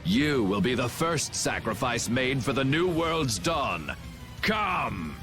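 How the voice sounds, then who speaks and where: A man's voice: mid-range voice, very animated, takes it slowly, shouting, music in the background. A man voicing a grandiose, cold villain who would rule the world, speaking formally and theatrically in a video game cutscene. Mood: cold, imperious.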